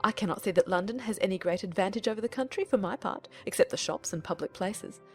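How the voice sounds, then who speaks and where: A woman's voice: medium pitch, quick pace, soft background music, natural voice. A woman voicing a fussy, effusive middle-aged mother in a dramatized audiobook, chattering and easily offended. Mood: indignant, triumphant. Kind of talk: dramatized audiobook character reading.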